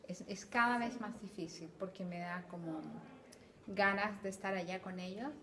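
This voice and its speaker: A woman's voice: medium pitch, fairly even intonation, normal speed, bright, forceful tone. Chilean woman recalling family abroad. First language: Spanish. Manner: thoughtful, with hesitant repeats. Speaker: interviewee, Spanish teacher. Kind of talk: casual interview answers.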